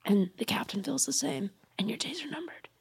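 A woman's voice: very high voice, lively intonation, moderate pace, a bit bright, full character voice. A woman playing a cocky, lovesick, dramatic young male space cadet in improvised sci-fi comedy. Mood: whispered menace.

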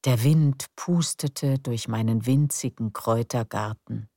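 Woman narrator, bedtime story, very deep voice, warm and mellow, soothing, dry close mic. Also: no room sound. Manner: slow, soft and soothing.

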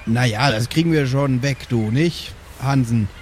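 A man's voice: mid-range voice, measured pace, slight background noise, close to an everyday voice. A man playing Bransen, a boastful, jokey brother in an improvised tabletop role-play, chatting cheerfully. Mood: hearty.

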